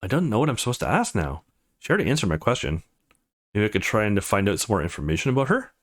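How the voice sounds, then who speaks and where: A man's voice: lowish voice, very animated, rapid-fire. A man voicing an awkward, guarded eighteen-year-old male student from a visual novel, earnest and a little hesitant. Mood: curious.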